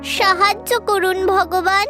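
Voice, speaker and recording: A woman's voice: very high voice, fairly even intonation, takes it slowly. A woman voicing a brave, kind little village girl in a cartoon, earnest and childlike. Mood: praying. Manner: earnest, bright childlike delivery. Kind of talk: cartoon voice acting. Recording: music underneath.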